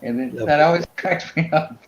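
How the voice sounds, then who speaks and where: A man's voice: on the high side, moderate pace. A man chatting casually as a podcast panelist, telling an anecdote. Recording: dull, roomy, faintly noisy group recording.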